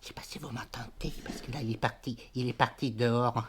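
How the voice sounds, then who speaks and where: A woman's voice: a bass voice, fairly even intonation, fairly quick. A woman voicing an exasperated, rambling woman in her eighties on a prank phone call, hesitant and stammering with frequent sighs. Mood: overwhelmed. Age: elderly, as played. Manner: hesitant, stammering, sighing, repetitive. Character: exasperated rambling 82-year-old woman fed up with her brother. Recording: faint background noise on phone call.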